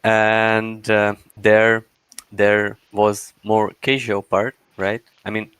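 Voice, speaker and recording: A man's voice: lowish voice, little pitch movement, drawn-out words, a bit bright, smooth, no room sound. A man in his twenties from Russia, speaking English as an interview guest, hesitant and searching for words. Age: 20s. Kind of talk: casual interview answers.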